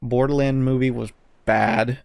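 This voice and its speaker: A man's voice: mid-range voice, steady tone, measured pace, gravelly, darkish tone, no echo, clean studio sound. A man co-hosting a casual podcast, giving blunt opinions with some swearing and often searching for words. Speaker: podcast co-host.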